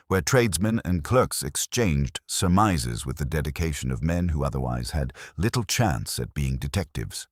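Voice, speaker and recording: A man reading scripted literary mystery narration in a measured, wry storytelling manner. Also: a bass voice, dry recording, studio quality. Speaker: narrator of a mystery audio drama.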